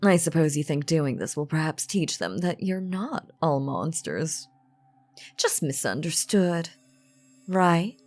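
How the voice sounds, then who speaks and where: A woman acts out an elegant vampire lady in a roleplay audio drama. Her low voice moves slowly through genteel, formal phrases, teasing and condescending with calm menace. The recording is close and dry. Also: no room sound, soft background music, heavily acted.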